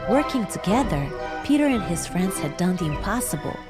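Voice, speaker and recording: A woman narrates a children's bedtime tale, speaking directly and warmly to young listeners. Her pitch rises and falls in an animated, expressive way. Background music accompanies her throughout. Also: a little fast. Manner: warm, animated storytelling addressing children. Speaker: storyteller.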